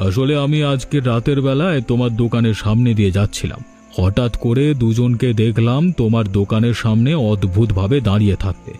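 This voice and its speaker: A man acting a calm, earnest helpful stranger. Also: mid-range voice, brisk, soft background music, lightly acted. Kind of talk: cartoon voice acting in a folk-tale drama.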